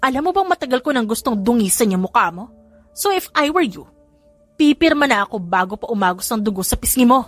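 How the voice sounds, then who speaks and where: A woman's voice: a little high, lively intonation, a little fast, no echo. A woman puts on a villainous mistress for an audiobook melodrama. The voice is raised, haughty and spiteful, sneering impatiently with bits of English, over faint music. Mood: vicious threatening. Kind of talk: audiobook drama dialogue.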